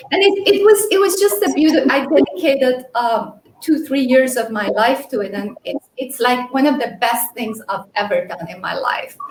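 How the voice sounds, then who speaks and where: A woman's voice: high-pitched, slight background noise. Earnest woman translator in an echoey room. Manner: earnest, emphatic, some restarts. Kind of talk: casual panel talk. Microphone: video call.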